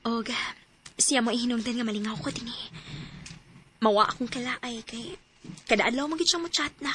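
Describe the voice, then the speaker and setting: A woman's voice: a little high, sharp tone, slight background noise. A Cebuano Filipino woman acting a lonely, hopeful separated mother in an audio drama, both in dialogue and first-person narration.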